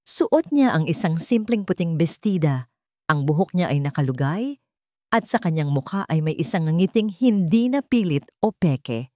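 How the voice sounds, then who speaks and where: A woman's voice: on the low side, expressive, moderate pace, darkish tone, dry recording, studio quality. A woman with a Filipino accent narrating a scripted dramatic story as an audiobook.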